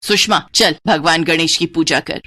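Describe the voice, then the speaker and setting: A woman's voice: low voice, moderate pace, sharp tone. A woman voicing a harsh, domineering older mother-in-law in a moral cartoon story, scolding and proud. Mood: scornful. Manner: scolding, commanding, taunting.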